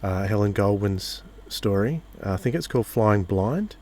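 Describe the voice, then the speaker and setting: A man's voice: on the low side, lively intonation, normal speed, darkish tone. A man co-hosting a podcast, chatting casually as he puts questions to a guest. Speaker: podcast co-host. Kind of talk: casual interview chat.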